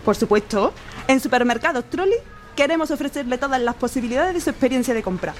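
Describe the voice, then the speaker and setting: A woman acts a supermarket cashier in a radio drama with a high, very lilting voice. She recites polite customer-service phrases, with music behind her. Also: expressive, fast talker. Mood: polite, scripted. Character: chirpy, scripted female supermarket cashier. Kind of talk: radio play acting.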